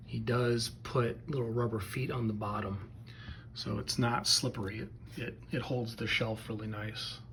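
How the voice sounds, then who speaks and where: A man's voice: lowish voice, creaky. Casual, hesitant man with background noise. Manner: hesitant, rambling, plain-spoken. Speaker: hobbyist video presenter. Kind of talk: casual unscripted product demo.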